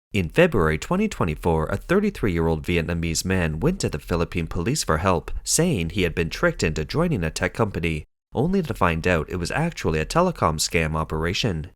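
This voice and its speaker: Male narrator, low and animated, dry studio read for a news explainer. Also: no echo. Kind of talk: scripted documentary narration.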